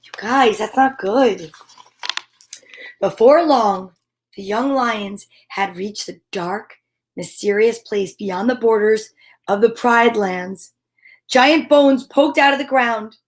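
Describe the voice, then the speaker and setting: Slow, theatrical woman storyteller. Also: mid-range voice. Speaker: host and storyteller. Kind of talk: dramatic children's story reading with comic asides.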